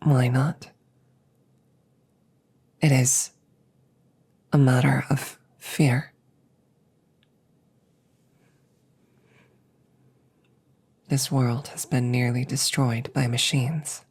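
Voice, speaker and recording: A woman plays a female combat android with a very deep, dark voice. The machine speaks softly and formally, calm and protective, soothing the listener in slow, measured phrases. It is intimate roleplay audio drama. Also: full character voice. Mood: grave, measured. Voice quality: soft, breathy, often near a whisper.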